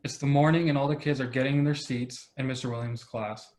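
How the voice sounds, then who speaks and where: A man's voice: medium pitch, steady tone, fairly quick, a little mellow. A man narrating scene directions from a script at an amateur table read.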